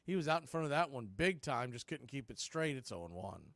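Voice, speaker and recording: A man calling live baseball play-by-play, as the show's announcer, in a steady, measured delivery that tracks each pitch. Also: no room sound.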